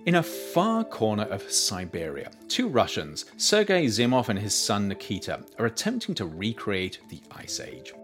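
Lively, low-voiced man reading his book. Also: moderate pace, few pauses, soft background music. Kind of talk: audiobook narration.

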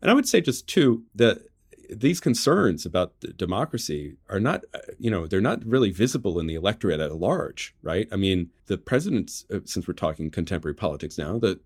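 An American man giving political commentary as an interview guest, measured and halting as he restarts phrases to qualify his points. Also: sits low, very animated, slightly rough, dry recording, studio quality. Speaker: interview guest, journalist and author.

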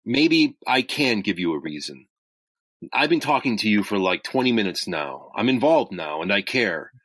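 A man playing an earnest, caring crisis hotline volunteer in an audio drama, speaking in a measured, hesitant, sincere way. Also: lowish voice, big pitch swings, a bit bright, no room sound. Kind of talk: naturalistic two-person audio drama acting.

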